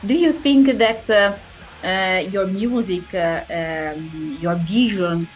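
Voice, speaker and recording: Slow, halting woman interviewer. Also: medium pitch, faint music behind. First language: Italian. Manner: halting, searching for words. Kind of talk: interview questions in a second language.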